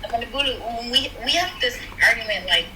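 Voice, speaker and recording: Brief: young woman from South Sudan, bright and forceful voice, chatty debate style, faint hiss behind. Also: on the high side, quick pace. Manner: animated, talks through points with many "like" and "right?". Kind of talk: casual chat, debate.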